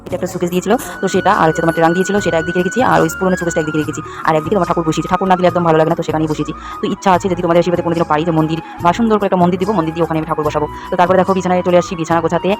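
A woman's voice: on the low side, flat delivery, very fast, few pauses, music in the background. A young woman chatting to viewers in a run-on, repetitive way as she narrates a casual home vlog. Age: young adult. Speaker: home vlogger. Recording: clearly noisy home recording, uneven volume.

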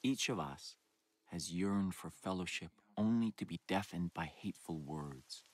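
A man playing a solemn, messianic doomsday cult leader in a video game, preaching in grave, deliberate, rhetorical monologues. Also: low-pitched. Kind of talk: dramatic sermon and broadcast monologue.

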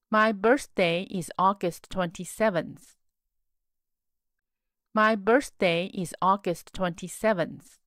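A woman teaching set phrases in a slow drill, saying each word on its own and then the whole sentence. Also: medium pitch, no echo, studio quality. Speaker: English teacher. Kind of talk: slow repeat-after-me drill reading.

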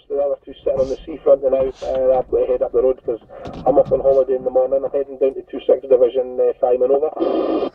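An older man chatting casually over CB radio in a hesitant, unhurried way. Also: medium pitch, monotone, slightly rough. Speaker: CB radio operator. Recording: tinny noisy CB radio transmission, distant.

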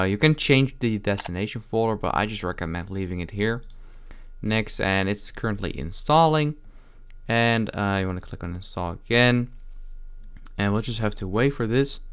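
A man giving a casual software tutorial in a halting, improvised way. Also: on the low side, clear, resonant tone. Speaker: tutorial host.

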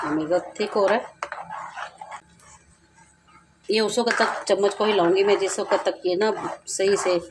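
A woman's voice: medium pitch, noisy background. Chatty woman hosting a home cooking tutorial. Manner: chatty, repeats herself, addresses viewers directly. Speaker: cooking channel host. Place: home kitchen, over a stovetop.

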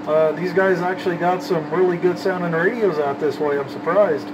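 A man's voice: fairly high pitch, fairly even intonation, moderate pace, muffled recording. A man chatting casually in folksy CB radio slang, as an operator showing his setup. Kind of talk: casual radio chat and commentary. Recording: loud vehicle and fan noise.

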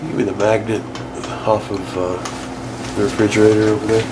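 A man giving a casual, plain-spoken, halting hands-on demonstration as a DIY car repair host, working over an engine bay. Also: lowish voice, level tone. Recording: clear engine-bay noise and clatter.

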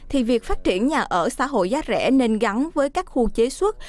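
A woman reads news voice-over in a fairly high voice. Her reading is clear and steady, in a formal newsreader manner. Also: no room sound. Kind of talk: scripted news narration.

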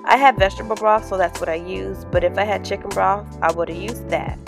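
A woman's voice: medium pitch, fairly even intonation, fairly quick, gravelly. A woman in her thirties hosting a casual home cooking vlog, chatty and upbeat, talking straight to the camera. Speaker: cooking vlogger. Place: home kitchen and small room indoors. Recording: music playing underneath.

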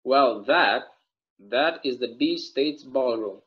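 A man's voice: medium pitch, slow pace, lightly acted. A young man playing a chatty, folksy small-town bartender in a table read of a stage play, rambling through local stories over a video call. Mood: easygoing. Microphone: video call microphone. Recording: dull, lo-fi video call audio.

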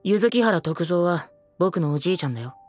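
A man's voice: sits high, expressive, brisk, faint music behind. A man playing a bitter, self-deprecating teenage boy idol and former child star in a Japanese audio drama. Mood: melancholy, resigned. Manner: cheeky, self-deprecating, theatrical.

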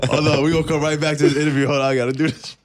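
A man's voice: on the high side, level tone, racing, dry recording. A young man hosting a radio interview in informal, slangy talk, close on a broadcast mic in a studio. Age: young adult. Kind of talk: casual radio interview chat. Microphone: broadcast microphone up close.

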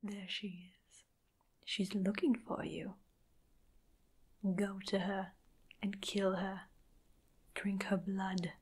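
A woman performing an ASMR roleplay as a possessive, doting vampire mistress, with breathy, theatrical, often whispered speech that coaxes and menaces. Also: mid-range voice, flat delivery, slow, pauses often. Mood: menacing. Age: young adult. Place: small dim indoor room.

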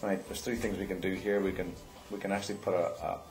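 Deep-voiced, fast-talking enthusiastic man. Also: little pitch movement, darkish tone. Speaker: event organiser. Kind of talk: casual reminiscing chat. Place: at the back of a post office.

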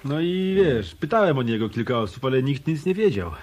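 An actor playing a shrewd hard-boiled detective in a radio drama, speaking in a dry, deliberate, probing way. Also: male voice, medium pitch, big pitch swings, a little mellow. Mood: casual. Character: shrewd hard-boiled male hotel detective turned private eye. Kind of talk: Polish radio drama dialogue.

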